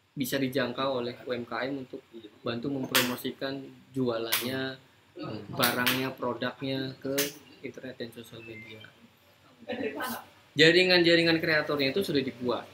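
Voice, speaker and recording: A man hosting a casual live talk, explaining informally and mixing in English business terms. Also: medium pitch. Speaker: host, creative agency founder. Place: room, live social media broadcast. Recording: noisy live-stream room recording.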